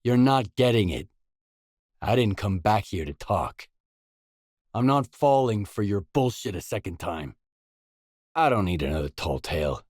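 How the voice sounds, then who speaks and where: A man playing a gruff, pragmatic stalker mercenary in a video game, speaking in a terse, matter-of-fact way. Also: fairly low pitch, sing-song, measured pace, pauses often, darkish tone, dry recording, studio quality. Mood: stern, threatening. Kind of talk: video game dialogue acting.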